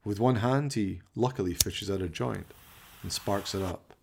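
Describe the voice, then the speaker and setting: A man's voice: lowish voice, moderate pace, gravelly, background noise. A man delivering theatrical, scripted narration for a comic horror radio play, in an arch, melodramatic manner.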